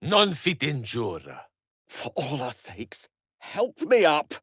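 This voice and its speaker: An actor with a British accent voicing a learned, proud, romantic wizard in a video game, with eloquent, slightly pompous phrasing. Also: male voice, fairly high pitch, wildly swooping pitch, takes it slowly, husky, no room sound, studio quality, exaggerated voice. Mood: battle-ready, forceful. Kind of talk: video game character voice lines.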